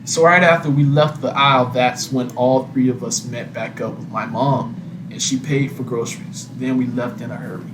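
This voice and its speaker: A man's voice: medium pitch, steady tone, normal speed, music in the background. A man telling a scripted personal story as narrator, recorded in an echoey room with background noise. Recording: roomy echo, noisy background.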